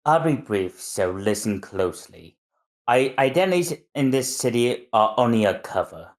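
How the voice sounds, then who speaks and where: A man's voice: mid-range voice, moderate pace, lightly acted. A man reading as a cold secret agent. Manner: calm, clipped, menacing.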